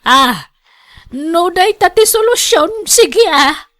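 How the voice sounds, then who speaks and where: A woman's voice: very high voice, animated, takes it slowly, a bit bright, heavily acted. A woman playing an exasperated older mother in a radio drama, complaining wearily about her wayward son. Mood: resigned.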